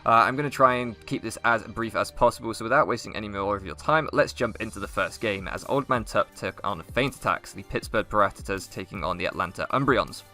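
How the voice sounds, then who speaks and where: A man co-hosting a league recap, opening the show in an energetic presenter manner. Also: fairly low pitch, brisk, few pauses, soft background music. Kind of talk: upbeat scripted-sounding show intro and recap.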